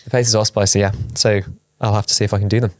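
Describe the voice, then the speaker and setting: A man's voice: on the low side, level tone, fast talker, no echo. A man co-hosting a podcast in a chatty, rambling way, teasing his co-hosts. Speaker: podcast co-host. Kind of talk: casual podcast chat.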